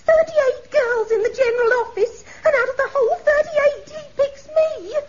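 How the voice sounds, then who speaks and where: An actress playing a tearful, melodramatic young fiancée in a radio comedy, sobbing and gushing. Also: female voice, extremely high pitch, normal speed, a little mellow. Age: young adult. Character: tearful melodramatic young woman, an office girl engaged to be married. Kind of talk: 1950s radio comedy acting. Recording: dull old radio recording.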